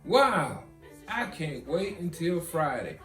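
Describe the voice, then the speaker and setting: A man voicing an excited little kindergarten boy, an adoring younger brother in a storybook, eager and exclaiming. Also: fairly high pitch, takes it slowly, voice pushed up, exaggerated voice. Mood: eager, affectionate. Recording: roomy echo, guitar music behind.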